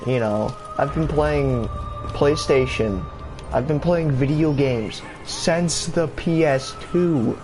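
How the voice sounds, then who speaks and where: A young man casually rambling in a gaming vlog, full of fillers, restarts and casual swearing, his voice jumping higher when he gets excited. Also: slow, music in the background. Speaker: gaming YouTuber. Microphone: console camera mic. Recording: steady background noise.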